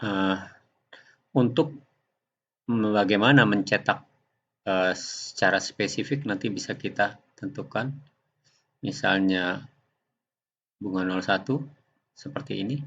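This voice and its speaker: A man gives an unscripted software demonstration, explaining step by step in a halting way. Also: on the low side, highs cut off. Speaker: software tutorial presenter. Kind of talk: unscripted screen demonstration narration.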